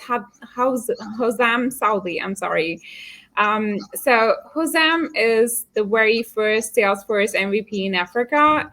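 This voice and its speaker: A woman's voice: on the high side, fairly bright tone. A woman hosting a live online career series, upbeat and dropping frequent "um" fillers, speaking over a video call. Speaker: host.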